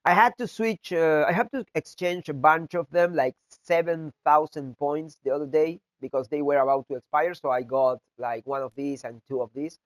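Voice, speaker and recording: A man with a nasal, flu-congested voice, speaking English as a second language, gives a casual video game tutorial that is halting and full of fillers and restarts. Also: on the high side, dry recording, low-quality recording. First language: not English. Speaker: video game content creator. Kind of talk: casual gameplay tutorial talk.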